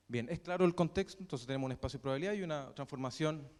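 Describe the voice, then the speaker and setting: A young man with a Chilean accent giving a university math lecture, halting often with fillers and restarts. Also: fairly high pitch, darkish tone. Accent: Chilean Spanish. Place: lecture hall. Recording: reverberant lecture hall.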